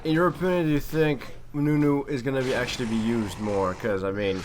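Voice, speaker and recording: A man's voice: mid-range voice, fairly even intonation, brisk. A man giving casual, wry game commentary with some mild swearing and trash talk. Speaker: gaming video commentator and player. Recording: clearly noisy home recording.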